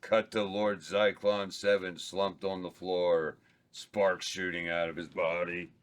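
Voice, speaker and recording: Man reading stage directions, very low voice, slow and halting. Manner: slow, flat, stumbles over words. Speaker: script reader of stage directions.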